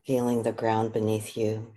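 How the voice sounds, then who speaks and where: A meditation guide with a soft, breathy voice, speaking gently and unhurriedly in short phrases with long pauses. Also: mid-range voice, monotone.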